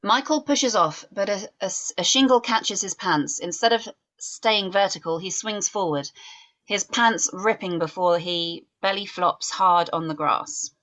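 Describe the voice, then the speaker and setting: A woman narrating stage directions in a script table read, measured and descriptive. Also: medium pitch, a bit bright.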